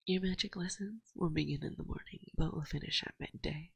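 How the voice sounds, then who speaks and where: A woman playing a dominant, teasing and affectionate forest witch in an ASMR roleplay, speaking in a hushed, breathy voice that often drops to a whisper, close to the microphone. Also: deep voice, no room sound, dull audio, exaggerated voice. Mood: calmly commanding. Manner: slow, soothing, teasing, gently dominant. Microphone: close binaural microphone.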